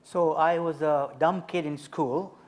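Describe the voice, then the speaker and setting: A man in his fifties, from Tanzania, telling his story as an author and speaker on a festival panel, measured and emphatic. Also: a little high, fairly even intonation. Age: 50s.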